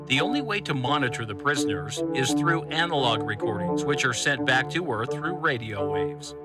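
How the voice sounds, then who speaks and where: A male actor as a composed news journalist delivers a formal, measured report for an audio drama. His voice sits at an everyday male pitch, with loud music running underneath. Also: lightly acted.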